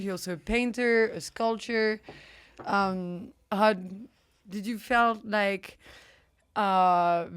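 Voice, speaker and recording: A woman's voice: medium pitch, dry recording, clean studio sound. A young woman hosting a podcast interview, asking long, meandering questions full of "like". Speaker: podcast host. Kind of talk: casual interview.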